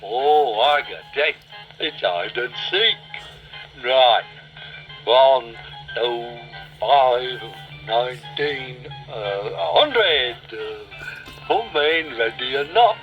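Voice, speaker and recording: A man's voice: medium pitch, expressive, drawn-out words. A man voicing a cheerful, childlike male dragon in a cartoon, with a bouncy, playful way of talking. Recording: dull lo-fi soundtrack with faint music.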